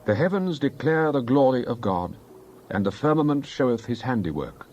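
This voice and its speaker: Male scripture reader, mid-pitched, solemn and declamatory, on slightly muffled audio. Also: sing-song, moderate pace, a little mellow. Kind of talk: dramatized Bible reading.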